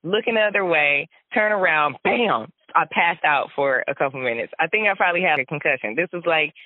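A woman's voice: sits low, a little fast, no echo. Laughing woman on a tinny line. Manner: laughs often, animated storytelling. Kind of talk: casual chat. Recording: dry phone-like sound.

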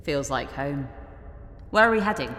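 A woman's voice: very deep voice, expressive, moderate pace, fairly bright tone. A woman playing a confident journalist in a science fiction audio drama, dry, self-assured and probing.

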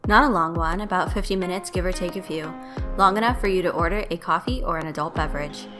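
A woman reading a scripted intro for a podcast, smooth and inviting. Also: lowish voice, fast. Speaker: show announcer. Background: loud music.

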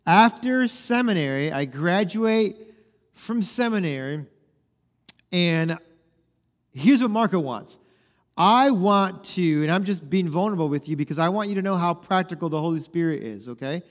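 A man's voice: high-pitched, lively intonation, moderate pace. Pastor in his forties, echoing hall. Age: 40s. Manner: conversational storytelling, acts out dialogue. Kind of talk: sermon. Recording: long hall reverb.

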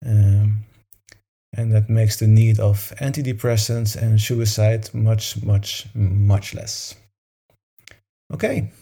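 A man's voice: lowish voice, flat delivery, takes it slowly, gravelly, mellow, slightly rough. A man leading a meditation session, talking informally to a group in a soothing, encouraging manner.

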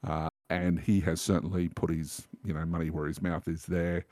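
Man in his fifties, very low voice, dry close sound, enthusiastic podcast chat. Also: little pitch movement, moderate pace, darkish tone, no echo. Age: 50s. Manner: enthusiastic, recommending. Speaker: podcast guest.

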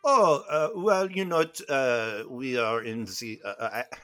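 A man putting on a halting fake-French gent. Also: medium pitch, big pitch swings, fairly bright tone. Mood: hesitant. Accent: put-on French. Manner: halting, constant "uh" fillers, slow and hesitant. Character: pompous fake-French middle-aged gentleman. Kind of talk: improvised comedy bit.

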